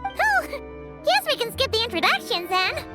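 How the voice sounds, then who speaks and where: A woman's voice: extremely high pitch, very animated, moderate pace, over music. A woman voicing a cheerful, eager young girl in a video game, sweet, friendly and enthusiastic. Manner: bubbly, enthusiastic. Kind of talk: English video game voice acting.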